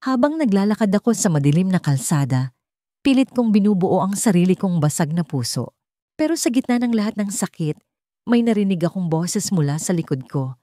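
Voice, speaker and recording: A woman narrating a scripted first-person romance story in measured, dramatic short phrases. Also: low-pitched, mellow, no echo, studio quality.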